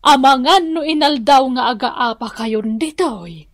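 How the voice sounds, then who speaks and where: An actress with an Ilocano accent playing a gentle, pious, sorrowful elderly mother in a radio drama. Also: sits high, a little slow, no echo, clean studio sound. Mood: worried, ashamed. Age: older.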